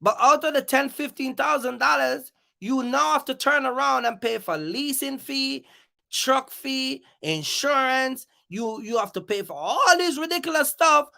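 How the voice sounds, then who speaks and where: Bright, forceful, gravelly livestream host. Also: high-pitched, lively intonation, unhurried. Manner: emphatic, repeats phrases for emphasis; confrontational, challenging listeners. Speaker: live stream host. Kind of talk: off-the-cuff livestream rant.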